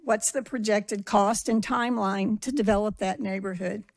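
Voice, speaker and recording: A woman giving a measured public comment at a podium microphone in a meeting hall, asking pointed questions. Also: medium pitch, steady tone, vocal fry, a little mellow.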